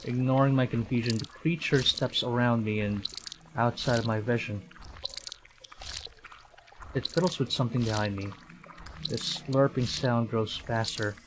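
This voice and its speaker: A man's voice: mid-range voice, steady tone, unhurried, pauses often, noisy background. A man from the Philippines streaming a game, reading narration aloud in a dramatic storytelling way and reacting to it.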